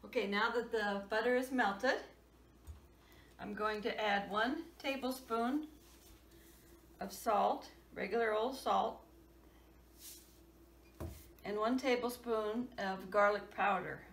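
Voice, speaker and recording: A woman's voice: medium pitch, slow pace, long pauses. A woman in her sixties giving plain step-by-step cooking instructions in her home kitchen. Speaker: home cook. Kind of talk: casual cooking demonstration. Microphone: camera across the kitchen. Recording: echoey kitchen, camera far off, loud noise.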